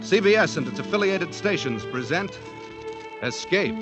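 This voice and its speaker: A man announcing a 1950s radio drama in a measured, declamatory style. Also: high voice, expressive. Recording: vintage radio broadcast over loud music.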